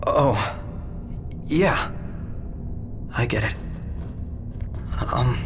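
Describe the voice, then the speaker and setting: A man's voice: medium pitch, lively intonation, drawn-out words, music in the background. An actor playing an eager, sensitive young man, a friendly sidekick in a video game, casual, boyish and a little hesitant. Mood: soft, supportive.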